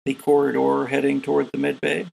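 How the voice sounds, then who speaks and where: Casting: male game master for a horror role-playing podcast, gravelly, rough-edged voice, part narrator, part referee. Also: medium pitch, monotone, brisk, a little mellow.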